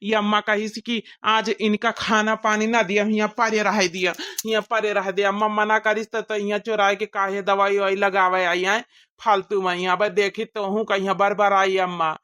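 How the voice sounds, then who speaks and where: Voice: medium pitch, little pitch movement, moderate pace, sharp tone, smooth, natural voice. A performer voicing a put-upon, resigned village husband with an Awadhi accent, plaintive and fussing, in a family comedy cartoon. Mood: grumbling.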